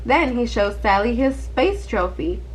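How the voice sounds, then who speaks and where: Higher-pitched woman reading a children's story. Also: lively intonation, a little slow, a little hiss or hum. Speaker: storybook reader. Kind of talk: children's read-aloud narration.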